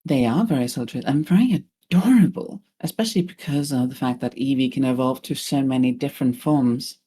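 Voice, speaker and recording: A young woman from Norway with a low voice chats casually on a podcast as a guest. She talks freely and laughs easily while she plugs her streaming channel and her store. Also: brisk, dark tone, breathy edge.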